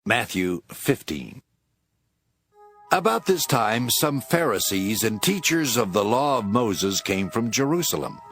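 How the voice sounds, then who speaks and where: A man narrating scripture as a scripted, dramatic story in a measured storytelling manner. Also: fairly low pitch, sing-song, a bit bright. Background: instrumental music.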